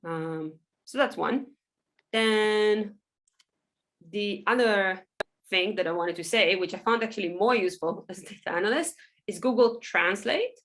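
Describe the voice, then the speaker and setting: A woman, a Hebrew speaker, giving a loose, chatty software workshop full of ums and small laughs. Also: medium pitch, a little slow, a little hiss or hum. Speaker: workshop presenter. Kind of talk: casual software tutorial over screen share.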